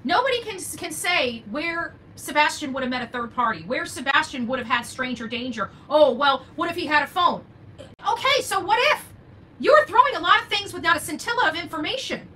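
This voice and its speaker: A woman's voice: high voice, expressive, a little fast, sharp tone, slight background noise. An older woman hosting unscripted opinion commentary, emphatic and repeating key phrases for stress. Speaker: true-crime commentary host.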